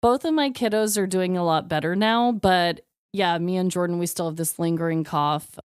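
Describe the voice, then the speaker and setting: A woman's voice: lowish voice, moderate pace, clean tone, no room sound, clean studio sound. A woman in her thirties chatting casually as a podcast co-host, exclamatory and freely swearing. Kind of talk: casual chat.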